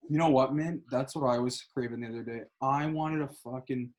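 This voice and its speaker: A young man, co-hosting a casual podcast chat, swearing often and excitably talking over his co-host. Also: mid-range voice, fairly quick.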